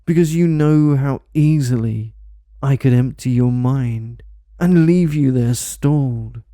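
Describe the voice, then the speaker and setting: A man delivers a commanding hypnosis script at a very slow, deliberate pace. His voice is deeply dark and mellow, sitting at an ordinary pitch, and the recording is dry and close with no room sound. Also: dry recording. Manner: dominant, commanding, repetitive. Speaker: hypnosis audio creator.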